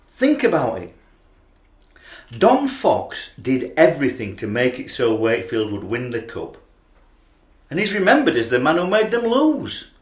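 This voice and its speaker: An actor with a Yorkshire accent playing an ageing, obsessed rugby league fan in a radio play, confessional and tormented by doubt. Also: male voice, medium pitch. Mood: earnest, troubled. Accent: Yorkshire English. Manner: anguished, confessional, searching. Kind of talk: radio play acting, emotional monologue and dialogue. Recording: roomy, echoing voice recording.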